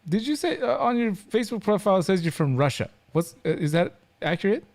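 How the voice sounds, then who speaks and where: A man's voice: high-pitched, sing-song, quick pace, darkish tone. A man hosting a live stream, chatting casually with guests in an informal, jokey, rambling way.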